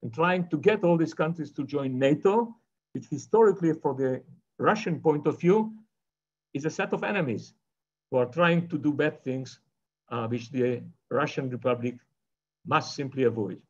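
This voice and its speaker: An older man delivers an online lecture over a webcam from a bookshelf-lined study. His voice sits a little high for a man, and he wanders through his points with frequent fillers and restarts. The call audio sounds somewhat muffled and lo-fi. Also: normal speed, a little mellow. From Israel. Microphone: video call webcam. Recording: dull lo-fi video call audio.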